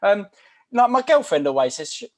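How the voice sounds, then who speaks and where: A British man with a fairly high voice, teasing his co-host with loose, jokey podcast chatter. He mocks his friend in a relaxed, conversational way. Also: normal speed. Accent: British English. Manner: joking, mocking. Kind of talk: casual banter.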